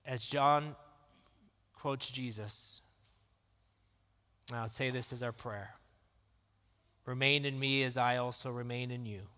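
A man preaching a sermon in a calm, conversational way that rises into emphatic questions. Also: mid-range voice. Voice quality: calm, soothing voice. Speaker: pastor. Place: church sanctuary. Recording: long reverb of a church hall.

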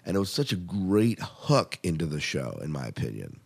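A man's voice: low voice, big pitch swings, normal speed, creaky, husky. A man hosting a podcast, chatting casually and enthusiastically about television as a keen fan. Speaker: podcast host. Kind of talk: casual TV review chat.

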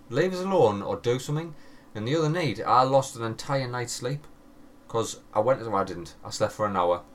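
A man co-hosts a podcast in loose, rambling banter full of jokes and swearing. His voice sits in an ordinary middle register. A faint hiss runs under the recording. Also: mid-range voice. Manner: rambling, jokey, swears freely. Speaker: podcast co-host. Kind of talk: casual banter.

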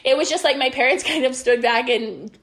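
A woman's voice: high voice, a little fast, a bit bright. A young woman coaching on business and belief in a casual, earnest monologue, rambling with restarts, her voice worn after being sick.

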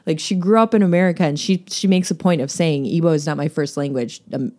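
A woman's voice: low-pitched, fast talker, a little mellow. A woman discussing a novel in a casual podcast chat, talking with many fillers and easy laughs. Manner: chatty, lots of fillers and laughs.

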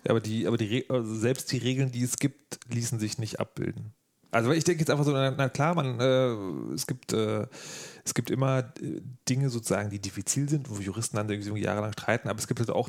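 A man's voice: mid-range voice, quick pace. Guy hosting a podcast, mid voice, loose slangy chat, thinks as he talks. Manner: informal, slangy, thinking out loud. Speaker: podcast host and interviewer. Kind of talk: casual podcast discussion.

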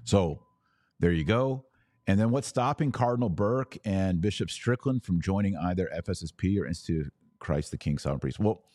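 A man's voice: deep voice, a little mellow, dry recording, clean studio sound. An American man speaking as a podcast host in conversational religious commentary, emphatic, often in short repeated phrases.

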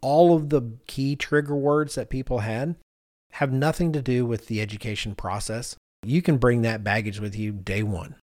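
A man's voice: medium pitch, steady tone, normal speed, darkish tone, dry recording, clean studio sound. A man hosting a conversational podcast, talking informally and thinking aloud as he goes. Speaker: podcast host.

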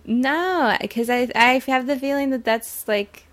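A woman's voice: high voice, measured pace, fairly bright tone. A woman co-hosting a podcast, talking casually about a television show. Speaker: podcast co-host. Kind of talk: casual TV episode discussion.

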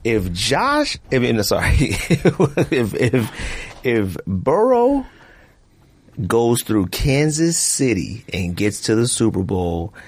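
A man hosting a podcast in casual, slangy chat, talking in an animated way and repeating himself for emphasis. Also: on the low side. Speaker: podcast host. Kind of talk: casual chat, friendly debate.

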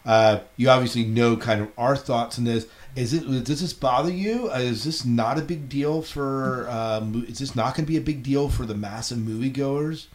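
A man's voice: mid-range voice, moderate pace, hardly any pauses. A man hosting a casual podcast discussion, reasoning aloud in a hesitant way with repeated phrases. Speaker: podcast host.

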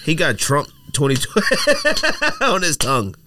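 A man's voice: fairly high pitch, wildly swooping pitch, measured pace, heavy background noise. A man co-hosting a casual sports podcast, talking in an emphatic, argumentative, slangy way. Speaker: podcast co-host.

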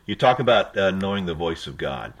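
A man's voice: deep voice, sing-song, a little fast. A man hosting a podcast interview who keeps backing up his guest with affirming, encouraging remarks. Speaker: podcast host. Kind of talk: casual interview chat.

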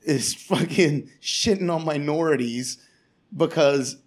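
Male comic guest, profane sarcastic rant, slightly high voice. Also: takes it slowly. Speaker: comedian guest. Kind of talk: casual podcast chat. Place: Portland area.

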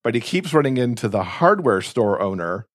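A man with a slightly low voice talks in an effusive, casual podcast style. His delivery is emphatic and conversational, and the sound is very dry and close. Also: sing-song, normal speed, no echo. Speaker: podcast co-host recommending books. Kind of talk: casual enthusiastic book chat.

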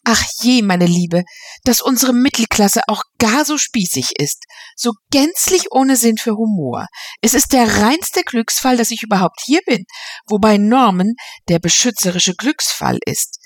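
An affected, gushing society lady with a theatrical drawl, as a woman reader puts her on. Her voice sits higher than the narration and swings widely, and the sound is dry and close. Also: lively intonation, unhurried, no room sound. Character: flamboyant upper-class society woman, theatrical.

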